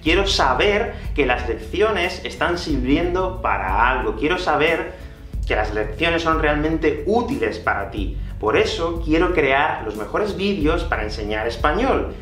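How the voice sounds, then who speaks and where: A Spanish man in his thirties gives a lively online lesson, his voice swinging up and down as he gestures. He repeats key phrases slowly for learners. The small room rings with echo under faint background music. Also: on the high side. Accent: Spanish from Spain. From Spain. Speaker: Spanish teacher. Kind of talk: YouTube language lesson to camera. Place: small room with whiteboard and shelves, indoors.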